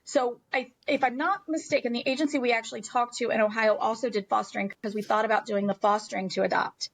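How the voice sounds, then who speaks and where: A woman's voice: on the high side, fairly even intonation, fast talker, creaky, rough recording. An American woman telling a personal story as an interview guest in a candid, emphatic, conversational way.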